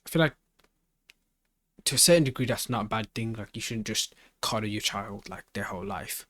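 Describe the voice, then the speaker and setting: A man's voice: lowish voice, fairly quick, fairly bright tone, no room sound. A man giving casual off-the-cuff reaction commentary in slangy speech, often repeating and rephrasing himself. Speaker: reaction video host.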